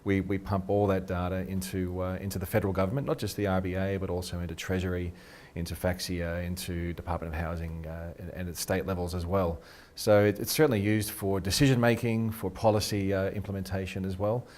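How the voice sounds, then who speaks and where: A middle-aged Australian man, a research head being interviewed, talks quickly and eagerly and restarts his sentences a lot. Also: sits low, flat delivery, darkish tone. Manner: quick, eager, repeats words when starting sentences. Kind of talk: casual interview talk. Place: radio studio.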